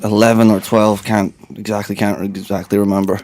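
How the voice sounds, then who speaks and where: A man's voice: fairly low pitch, little pitch movement, brisk, darkish tone, dry recording. A young man from Latvia, a guest rapper chatting in a radio studio, rambling and laid-back with lots of fillers. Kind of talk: casual interview chat.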